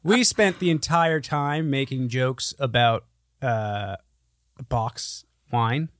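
A man's voice: mid-range voice, slow. Casual, profane man in his thirties. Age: 30s. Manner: profane, jokey. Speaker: podcast co-host. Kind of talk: casual chat, storytelling.

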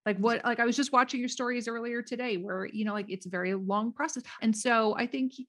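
A woman hosting her own podcast, chatting warmly and enthusiastically with a guest. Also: on the high side, fairly even intonation, brisk. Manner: enthusiastic, affirming. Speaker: podcast host. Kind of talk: casual interview chat.

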